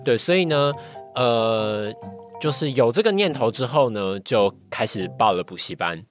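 A man's voice: mid-range voice, expressive, moderate pace, soft background music. A young man with a Taiwanese Mandarin accent chatting casually as a podcast guest, recounting experiences a little hesitantly with repeated phrases. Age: young adult.

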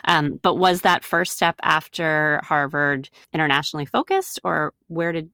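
A woman hosts an interview and asks friendly, probing questions about the guest's path. Her voice is very low for a woman. The recording is dry, with no room echo. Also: lively intonation, normal speed, sharp tone.

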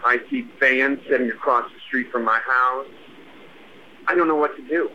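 A man's voice: medium pitch, fairly even intonation, normal speed, bright, forceful tone. Muffled, earnest American punk rocker played by a man. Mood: paranoid, pleading. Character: weary, paranoid aging American punk rocker. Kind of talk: audio fiction voicemail monologue. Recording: muffled noisy phone voicemail.

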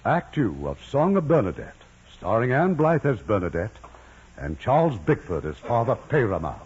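A man's voice: mid-range voice, sing-song, normal speed, a little hiss or hum. A man hosting a vintage radio drama, introducing and narrating from a script. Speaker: producer and host. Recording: dull old radio, loud music.

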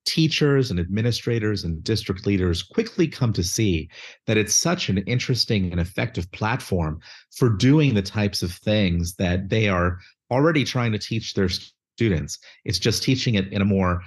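A man's voice: lowish voice, lively intonation, moderate pace, husky. A man answering interview questions, articulate and methodical, listing points with a few fillers. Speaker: guest, education nonprofit chief executive.

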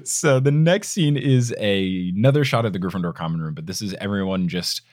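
A man's voice: lowish voice, fairly quick, a little mellow. A young man hosting a podcast, chatting casually with rambling asides and frequent laughter. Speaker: podcast host. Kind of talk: casual chat.

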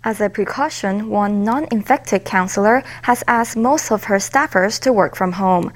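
A woman reading news as an anchor, clear and formal. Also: mid-range voice, normal speed. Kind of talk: news report narration.

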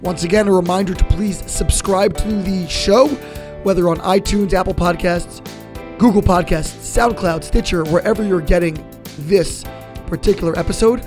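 Raspy hoarse male podcast host. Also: high-pitched, normal speed. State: strained, hoarse voice. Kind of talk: scripted podcast intro and interview. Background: music.

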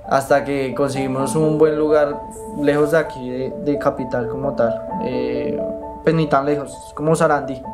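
A man from Bogotá with a Colombian accent, telling his story haltingly with many pauses, fillers and restarts. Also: mid-range voice, fairly even intonation, loud music behind the voice. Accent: Bogotá Colombian Spanish. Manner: hesitant, many fillers and restarts, soft-spoken. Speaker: interviewee, migrant. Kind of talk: halting personal account.

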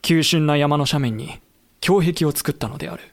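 Higher-pitched male narrator of historical drama. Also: moderate pace, vocal fry.

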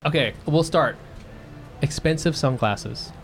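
A man's voice: a little high, measured pace, over music. A man with an American accent hosting a podcast in casual comic banter, joking and swearing lightly. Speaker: podcast host.

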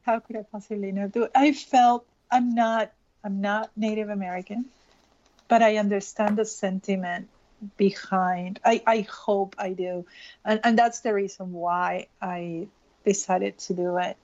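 A woman's voice: mid-range voice, fairly even intonation, unhurried, darkish tone, muffled recording. A woman from Peru, an illustrator speaking earnestly in an interview and often restarting her phrases. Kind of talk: casual interview.

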